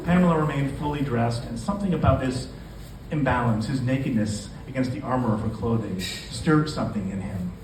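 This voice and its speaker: A man's voice: medium pitch, fairly quick. A man reading his own fiction aloud at a live literary event. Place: indoor bar event room. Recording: echoey, noisy bar venue, distant microphone.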